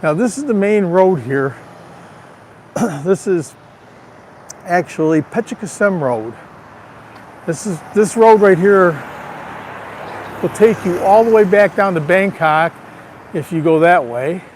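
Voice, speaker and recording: Male vlogger, raspy from allergies, chatty tour-guide manner, greets viewers by name; phone mic outdoors, light traffic hiss. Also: high-pitched, lively intonation, slow, pauses often. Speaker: livestream vlogger. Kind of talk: casual live walking tour. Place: outdoors on a town street. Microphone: handheld phone.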